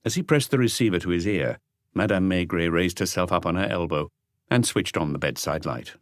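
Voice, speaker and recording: A man's voice: sits low, fairly quick, no echo, clean studio sound. A man reading a crime novel aloud as scripted literary audiobook narration.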